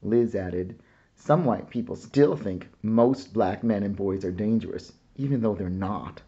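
Slow gravelly man reading a storybook. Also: highs cut off. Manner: slow, gentle reading with lifted pitch for child characters' lines. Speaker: picture book reader. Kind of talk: children's book read-aloud.

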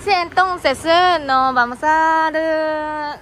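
A Japanese woman vlogging at an airport in careful, halting Spanish full of fillers. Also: high voice, fairly bright tone. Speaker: travel vlogger. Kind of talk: casual travel vlog narration. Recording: noisy airport background.

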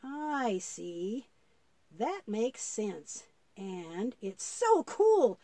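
A woman plays an earnest little boy in a children's puppet show. The voice is high and very animated, with an artless, thinking-aloud delivery. It is recorded dry, with little room sound. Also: very slow, no room sound, full character voice. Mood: earnest realization. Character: eager, earnest young boy puppet, good-hearted.

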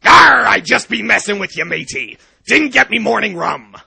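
A man's voice: high-pitched, sing-song, moderate pace, vocal fry, bright, forceful tone, breathy edge, heavily acted. A man voicing a cocky, drunken pirate merchant in pirate slang for a comic video game parody. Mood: teasing, boastful. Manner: pirate slang, growled arrs.

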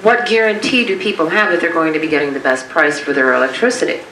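A woman's voice: deep voice, animated, fast, fairly bright tone. A woman speaks insistently at a community meeting, piling up rhetorical questions. She is picked up from a distance in an echoey room, with faint background noise. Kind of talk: impassioned public comment.

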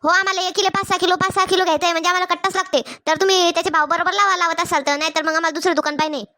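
Cartoon village woman, actress: very high and sharp, bossy, blunt haggler. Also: steady tone, racing. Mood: demanding. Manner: blunt, demanding, colloquial. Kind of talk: Marathi comedy cartoon dialogue.

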